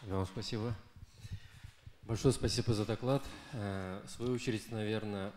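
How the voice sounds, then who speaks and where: A man's voice: on the low side, steady tone, normal speed, darkish tone, a little hiss or hum. A man responding to a conference talk with rambling, hesitant remarks full of fillers. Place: conference hall. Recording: echoey room.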